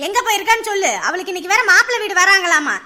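A woman's voice: high voice, fairly quick, pushed and bright. A woman playing a rural mother who grumbles as she herds goats and scolds her daughter. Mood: scolding. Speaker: mother of the family. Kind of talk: village family skit.

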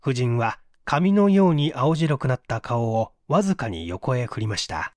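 Male audiobook narrator for mystery fiction, animated intonation, dry studio-style sound. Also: medium pitch, normal speed, no room sound. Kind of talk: scripted literary narration of a mystery story.

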